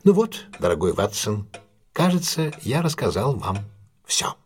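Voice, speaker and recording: Husky, expressive detective. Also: male voice, medium pitch, faint music behind. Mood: analytical, assured. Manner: measured, articulate, dramatic phrasing with deliberate pauses. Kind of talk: audio drama acting, expository monologue.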